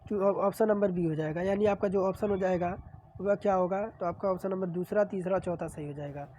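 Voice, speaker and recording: A woman's voice: fairly low pitch, fairly quick, warm tone, a little hiss or hum. A woman teacher with a sore throat, steadily reading out exam questions and explaining the answers. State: sore throat, clearing throat often. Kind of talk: reading and solving practice questions.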